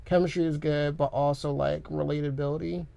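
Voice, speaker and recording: A young man co-hosting a podcast in a studio, chatting casually in a rambling, animated way with lots of filler words. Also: on the high side, brisk, gravelly. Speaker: podcast co-host. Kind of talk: casual chat. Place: recording studio. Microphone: studio microphone.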